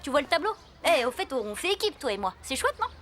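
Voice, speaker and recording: A woman voicing an excitable young teenage schoolkid in an adventure cartoon. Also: high-pitched, quick pace. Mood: eager. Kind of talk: animated series dubbing. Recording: music behind.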